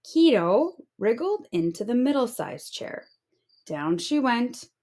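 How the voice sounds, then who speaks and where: Slow, animated woman storyteller. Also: on the high side. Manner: expressive storytelling. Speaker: storybook reader. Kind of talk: children's read-aloud narration.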